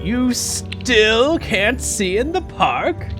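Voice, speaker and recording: Angry, worried parent, female actor, audio drama; impatient, commanding, very slow delivery with wide swings. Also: fairly high pitch, sing-song, over music, full character voice. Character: angry, worried parent demanding rescue of missing children.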